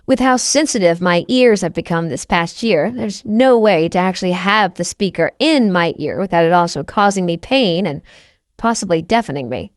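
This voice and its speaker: A woman's voice: fairly low pitch, animated, normal speed, dry recording, clean studio sound. A woman reading a first-person novel aloud as an audiobook narrator.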